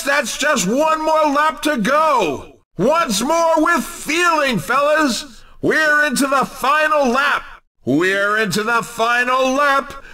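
An actor playing an excitable, upbeat arcade racing commentator in a video game, calling out in punchy exclamations. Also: male voice, very high voice, far from the mic, heavily acted. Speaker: race commentator.

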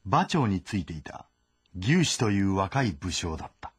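A man's voice: deep voice, sing-song, moderate pace, breathy edge, no room sound. A man narrating a historical game story in a dramatic, scripted style.

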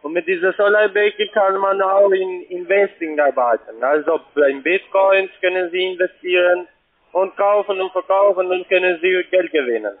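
A man who is not a native German speaker, giving a sales pitch as a cold caller. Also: normal speed. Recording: tinny phone line.

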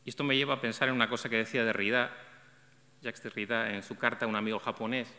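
A middle-aged man sits at a table microphone and reads a prepared essay in a measured, reflective way, now and then restarting a phrase. His voice is bright and forceful, carried by the long reverb of a large hall. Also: mid-range voice, fairly even intonation. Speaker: event coordinator presenting a talk on translation. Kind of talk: reading a prepared essay aloud. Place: arts center stage, seated at a table.

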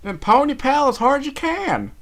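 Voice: high voice, big pitch swings, fairly quick, a bit bright, pitched above the performer's own voice, exaggerated voice. A storyteller voicing a feisty, loyal female country pony with folksy phrasing, emotional and exclaiming. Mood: urgent shouting.

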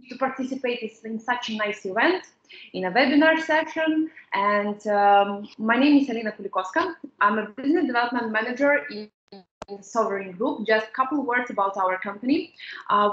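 A woman's voice: on the high side, fairly bright tone, muffled recording. Woman presenting over an echoey video call. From Ukraine. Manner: halting, many fillers and restarts, non-native phrasing. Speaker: business development manager presenting. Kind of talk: webinar presentation.

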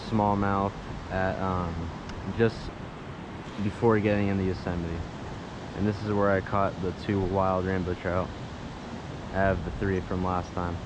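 A young man casually narrating a fishing vlog outdoors, low-key and a little halting. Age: young adult. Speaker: fishing vlogger. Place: outdoors by a river. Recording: noisy outdoor camera audio.

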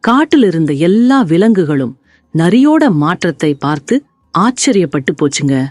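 A woman narrating a scripted children's story in an expressive, deliberate way. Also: on the low side, dry recording, clean studio sound, soft background music.

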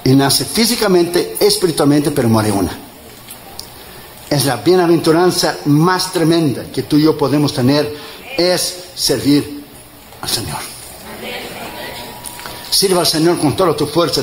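Tired evangelist guy in his 50s, emphatic, jokey then booming, echoey hall, some noise. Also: a little slow. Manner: emphatic, swings from joking asides to loud rising exhortation. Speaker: evangelist preacher. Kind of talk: sermon. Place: church in front of a congregation. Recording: echoey church with crowd and noise.

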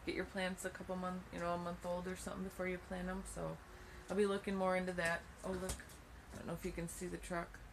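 A woman's voice: fairly low pitch, completely flat delivery, fast, background noise. A woman in her thirties filming a casual walkthrough of her small plastic greenhouse. She holds a phone at arm's length and talks in a rambling, matter-of-fact way, with frequent ums and restarts. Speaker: homesteading vlogger. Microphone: handheld phone camera at arm's length.

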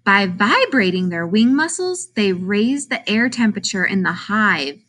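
A woman reading a children's picture book aloud like a teacher, putting expressive emphasis on questions and answers. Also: mid-range voice, unhurried.

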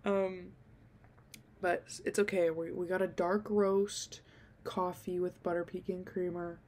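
A woman's voice: lowish voice, little pitch movement, unhurried, a little mellow, slight background noise. A young woman chatting casually into a handheld mic in a dim bedroom, a little nasal from being sick, rambling with lots of ums and likes. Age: young adult. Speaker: podcast host. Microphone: handheld desk mic close to mouth.

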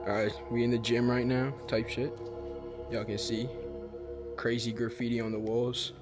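A young man with an easygoing, slangy way of talking, filming himself at arm's length as he explores an abandoned building. His voice sits at an ordinary middle pitch. Clear music runs underneath the whole time. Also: little pitch movement, normal speed. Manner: laid-back slangy, keeps addressing viewers. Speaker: vlogger. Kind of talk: casual exploring vlog. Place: abandoned school, indoors and on roof. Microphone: handheld camera at arm's length.

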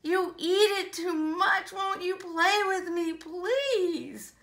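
A woman's voice: extremely high pitch, slow, a bit bright, pitched above the performer's own voice, exaggerated voice. A woman voicing a playful, pleading, bossy young boy monkey in a children's storybook, coaxing with emphatic rhythm. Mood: exasperated. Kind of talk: children's storybook read-aloud.

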